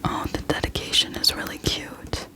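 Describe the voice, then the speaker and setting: A woman hosting a casual whispered ASMR book chat, speaking in a soft, breathy whisper with a hesitant, self-deprecating manner. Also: normal speed. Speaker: book video host.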